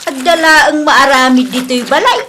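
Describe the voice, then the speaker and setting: Actress as scornful, nagging mother-in-law, Ilocano accent, high and bright, sarcastic radio drama delivery. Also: moderate pace, slight background noise. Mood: grumbling. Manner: scolding, sarcastic.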